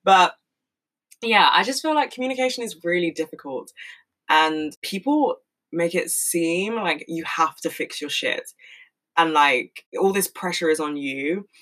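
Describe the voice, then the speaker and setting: A woman in her twenties talking casually and frankly, swearing a lot and rambling with many fillers, in a fairly monotonous voice. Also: fairly low pitch, unhurried, poor audio. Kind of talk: casual monologue.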